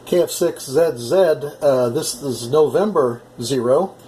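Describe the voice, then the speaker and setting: A middle-aged American man chatting casually as an amateur radio operator, explaining things in a measured, halting way. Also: medium pitch, crowd noise, poor audio. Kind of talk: casual ham radio conversation.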